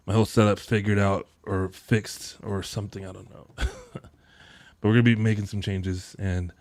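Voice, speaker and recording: A man in his thirties hosting a live tech-deals stream from his home setup, chatting in a relaxed, rambling way with frequent chuckles into a close boom mic. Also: no echo. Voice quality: deep voice. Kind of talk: casual live-stream chat. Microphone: boom mic close to mouth, headphones on.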